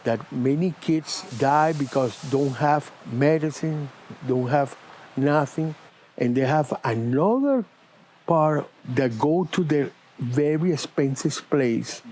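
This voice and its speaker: A man with a Spanish accent, a shop owner from Cuba and Venezuela, answering interview questions in earnest, halting English with non-native grammar, recorded in an auto repair shop. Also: a little high, a little mellow, a little hiss or hum, rough recording. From born in Cuba, lived in Venezuela.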